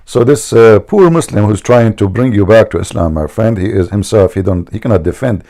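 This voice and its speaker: Gravelly man. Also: lowish voice, a little fast, mellow. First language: Arabic. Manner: mocking, emphatic, repeats himself. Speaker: debate show host. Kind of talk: argumentative religious debate.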